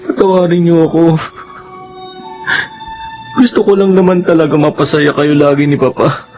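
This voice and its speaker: Filipino radio drama: male actor as desperate, remorseful son, high emotional pleading, slightly muffled recording. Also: moderate pace, a little mellow, faint music behind, lightly acted. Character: guilt-ridden grown son, desperate for parents' approval. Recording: dull radio audio, faint background noise.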